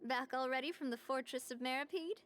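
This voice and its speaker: A woman's voice: high-pitched, fairly quick, a bit bright. A young woman voicing a friendly, assured gang leader in a video game, speaking earnestly.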